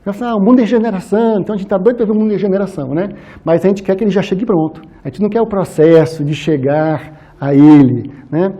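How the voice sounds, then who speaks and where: High-pitched, very mellow Brazilian man lecturing. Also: quick pace. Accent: Brazilian Portuguese, Minas Gerais. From Minas Gerais, Brazil. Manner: conversational, colloquial, with frequent tag questions. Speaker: speaker at a spiritist society. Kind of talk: informal religious lecture to an audience.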